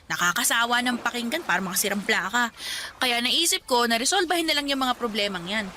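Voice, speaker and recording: A woman's voice: a little high, animated, a little fast, sharp tone, a little hiss or hum. An actress playing Sonia, a talkative and bossy young woman, in a radio drama. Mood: scheming. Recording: music bed under dialogue.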